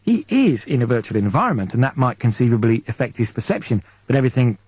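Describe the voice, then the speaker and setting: A man narrating a comic science-fiction audiobook in an expressive, measured storytelling manner with deliberate pauses. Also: medium pitch, slightly rough, no room sound.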